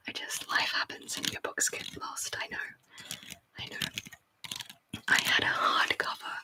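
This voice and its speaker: Whispering woman, rambling and chatty. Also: low-quality recording. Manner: soft rambling whisper, chatty and self-deprecating. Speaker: ASMR streamer. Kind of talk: whispered ASMR live stream chat. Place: home desk. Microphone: sensitive close mic.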